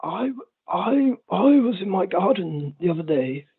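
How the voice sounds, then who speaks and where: High, gravelly man on a tinny phone line. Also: big pitch swings. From Spain. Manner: halting, repetitive, stammering. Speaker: caller. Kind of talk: rambling comedic call-in.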